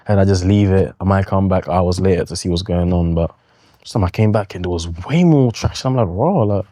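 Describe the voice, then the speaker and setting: Young male guest, low, warm voice, easygoing, repeats phrases, dry close sound. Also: fairly quick, no echo. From Tanzania. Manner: relaxed, repeats himself, many likes and fillers. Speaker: guest, comedian and streamer. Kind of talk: casual conversation.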